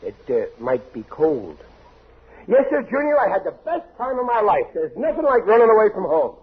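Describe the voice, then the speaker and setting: A man's voice: high voice, huge pitch swings, normal speed, breathy edge, heavily acted. An actor playing a blundering, cocksure working-class father in 1940s radio comedy, stammering and overconfident. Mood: smug. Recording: muffled, hissy 1940s radio recording.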